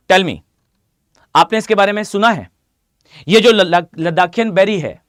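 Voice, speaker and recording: A hoarse man with an Indian accent teaching a live online class, often asking students to reply. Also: sits high, a bit bright, dry recording, studio quality. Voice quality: mixes Hindi with English phrases. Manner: addresses students directly, asks them to reply.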